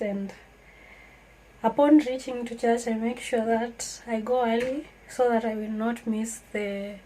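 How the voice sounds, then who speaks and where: A woman's voice: fairly high pitch, a little mellow, a little hiss or hum, low-quality recording. A woman from Kenya, talking earnestly and reflectively to her viewers in a casual vlog. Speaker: vlogger. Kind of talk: casual talk to camera.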